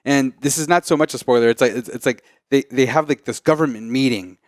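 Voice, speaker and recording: Guy reviewing a movie with a friend, middling pitch, acts out his stories, close dry sound. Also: fast, dry recording. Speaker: co-host of a movie review show. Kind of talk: casual chat, film review.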